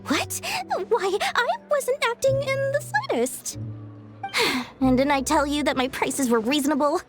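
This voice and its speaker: A woman's voice: sits high, big pitch swings, measured pace, over music. A woman voicing a theatrical, scheming small-time merchant woman in a video game. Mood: sly. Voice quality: sing-song, melodramatic, wheedling.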